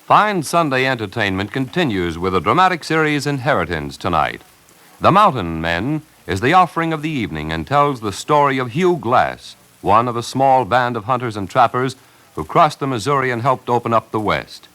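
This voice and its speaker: A man's voice: mid-range voice, big pitch swings, moderate pace, sharp tone. An American man announcing programmes in a polished, jaunty, scripted 1950s radio style.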